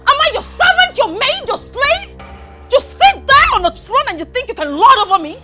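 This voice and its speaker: An actress playing a hot-tempered young royal daughter-in-law, emphatic and confrontational, in a film melodrama. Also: female voice, high voice, very animated, a little slow, over music. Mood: furious. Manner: confrontational, emphatic, repeats herself.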